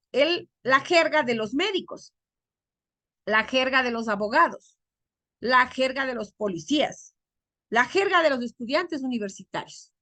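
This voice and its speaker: A woman teacher giving an online class over a video call, quizzing her students and drawing out key words slowly. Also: high-pitched, pushed and bright, no room sound.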